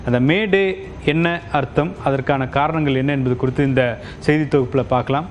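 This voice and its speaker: A man with a Tamil accent explaining a news story as a correspondent, stressing key words emphatically. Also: fairly high pitch. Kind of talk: semi-scripted explanatory news report. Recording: slightly dull sound, background noise.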